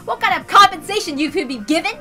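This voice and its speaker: A woman's voice: extremely high pitch, lively intonation, fast talker, sharp tone, faint music behind, full character voice. A woman voicing a scheming, teasing boy who makes grandiose, insistent speeches in a visual novel reading. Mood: taunting. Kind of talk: animated visual novel read-aloud.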